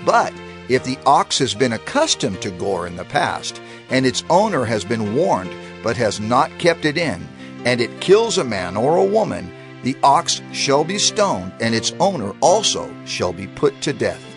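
A man reading scripture aloud in a dramatized, solemn and measured way, slowing down for emphasis. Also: mid-range voice, sing-song, creaky, over music. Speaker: scripture reader.

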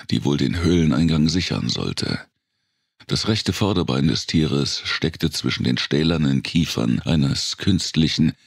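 A man reading a dark thriller audiobook as a dramatic narrator, brooding and deliberate, with pauses for effect and a gravelly, husky voice. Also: extremely low pitch, no echo, studio quality.

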